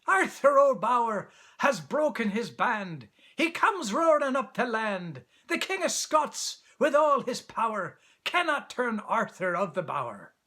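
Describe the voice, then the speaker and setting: Storybook squirrel, young male, played by a man: high, bright, impudent, teasing in sing-song rhyme. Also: big pitch swings, unhurried, hardly any pauses, heavily acted. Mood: boisterous. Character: cheeky young male squirrel, impertinent, singing riddles.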